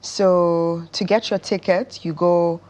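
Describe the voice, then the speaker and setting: A woman with a Nigerian accent talks in a casual interview as the guest. Her voice sits low for a woman, and she takes her time, explaining in a slow, measured way. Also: steady tone, a little mellow, lo-fi sound. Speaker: interview guest, stage actress.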